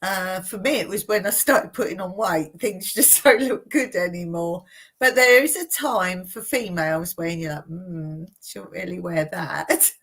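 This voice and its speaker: A woman's voice: lowish voice, unhurried, fairly bright tone, slightly rough. A woman in her late fifties hosting a casual live Q&A, reading viewer comments aloud and chatting about them with frequent laughs. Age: 50s. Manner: chatty, laughs often between remarks. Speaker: channel host. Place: home in rural Cyprus.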